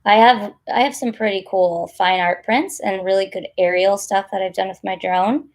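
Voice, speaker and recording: A woman with a raspy voice, hoarse from losing it recently, chatting casually and rambling with viewers on a live stream. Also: mid-range voice, fairly even intonation, normal speed. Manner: chatty, rambling, with fillers and laughs. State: hoarse after losing her voice. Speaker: vlogger answering live chat.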